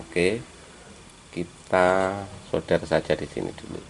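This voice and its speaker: A man with a deep, creaky voice walks viewers through a hands-on job. He speaks informally and very slowly, pausing between steps, over a clearly noisy amateur recording. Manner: step-by-step, halting as he works, addressing viewers as friends. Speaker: electronics repair hobbyist presenting a tutorial. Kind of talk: informal hands-on tutorial narration.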